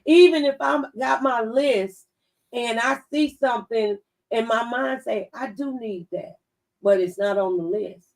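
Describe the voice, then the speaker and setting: A woman's voice: fairly high pitch, unhurried, gravelly, recorded from a distance, low-quality recording. A woman on a radio talk show, sharing folksy advice through simple analogies. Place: radio studio.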